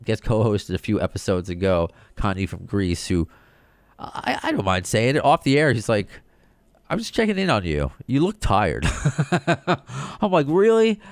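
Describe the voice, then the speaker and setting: A man from the United States hosting a solo podcast, talking in an excited, rambling way with many restarts. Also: fairly low pitch, normal speed, no room sound. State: short on sleep. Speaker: podcast host.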